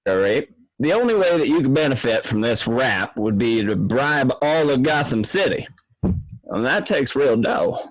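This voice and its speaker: A man's voice: mid-range voice, lively intonation, a little slow, dry recording, rough recording. A man playing a slick crooked lawyer. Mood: scheming. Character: slick lucky criminal lawyer, about forty, rotund. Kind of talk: amateur radio play reading.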